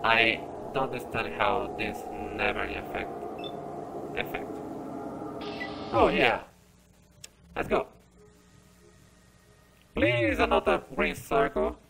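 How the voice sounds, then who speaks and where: Slow-talking, creaky-voiced man playing a game. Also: medium pitch, sing-song, background noise. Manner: self-deprecating, halting. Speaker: gamer commentating on own gameplay. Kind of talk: casual let's-play commentary. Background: game music.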